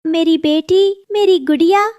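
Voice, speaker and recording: Cartoon mother sparrow voiced by a woman: very high, tender, anxious, plaintive, devoted to her young. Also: measured pace, a little mellow, dry recording. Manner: earnest, plaintive.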